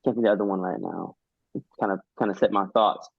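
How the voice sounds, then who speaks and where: A man's voice: lowish voice, steady tone, fast talker, gravelly, no echo. A man hosting an interview over a video call, asking questions casually and hunting for words with many ums. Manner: searching for words, lots of ums. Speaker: host. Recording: dry, dull lo-fi call audio.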